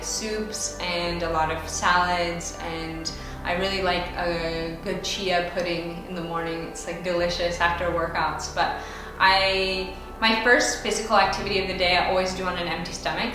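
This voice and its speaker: A young American woman, a ballet dancer, answering viewer questions in a chatty, upbeat way with lots of casual fillers. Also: lowish voice. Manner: chatty and upbeat, with frequent "like" and "you know" fillers. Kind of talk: casual Q and A vlog. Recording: very echoey room, distant mic, music behind.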